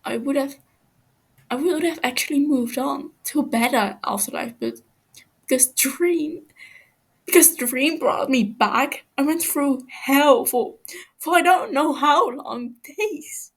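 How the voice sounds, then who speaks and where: A woman voicing a frightened, wounded captive man who stammers and pleads haltingly in an audio story. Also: high-pitched, voice pushed up. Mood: desperate.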